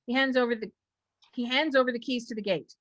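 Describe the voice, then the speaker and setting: A woman's voice: a little high, quick pace, fairly bright tone, muffled recording. A woman reading stage directions as the narrator at a script table read.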